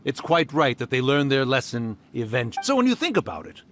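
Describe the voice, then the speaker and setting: A man voicing a mischievous old male kitsune spirit in a video game, teasing fondly with a theatrical, drawn-out delivery. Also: mid-range voice, sing-song, a bit bright. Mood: wry, fond.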